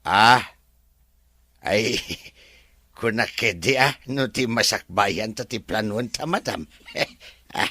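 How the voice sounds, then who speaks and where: A man playing a deferential, excitable farm worker in a radio drama, with drawn-out folksy exclamations. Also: fairly low pitch, slightly rough, no room sound, studio quality, heavily acted. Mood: sheepish, playful.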